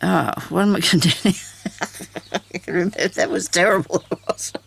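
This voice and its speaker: An older woman with a deep, raspy voice, chatting casually and laughing at herself while she does craft work. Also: steady tone, normal speed, a bit bright. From Australia. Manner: chatty, self-deprecating, laughs often. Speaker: craft vlogger.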